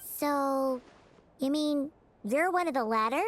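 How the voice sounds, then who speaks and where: A woman voicing a tiny, excitable, childlike fairy companion in a video game, perky and a little whiny. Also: high-pitched, slow pace, slight background noise. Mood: curious. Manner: perky, whiny, speaks of herself in third person.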